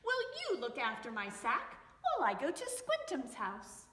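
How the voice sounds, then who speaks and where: A sly male fox from a storybook , read by a woman, speaks with polite firmness. The voice is high and bright and draws out its warnings. It sounds in an echoey room. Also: huge pitch swings, full character voice. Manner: courteous, firm, deliberately drawn-out warnings.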